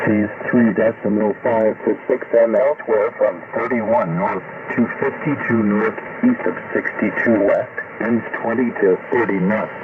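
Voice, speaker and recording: A man's voice: on the low side, recorded from a distance. Synthetic male forecaster on noisy shortwave. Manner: measured, methodical reading of figures. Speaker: marine weather broadcaster. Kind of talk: scripted marine weather forecast. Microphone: received over shortwave radio. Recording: noisy tinny shortwave radio reception.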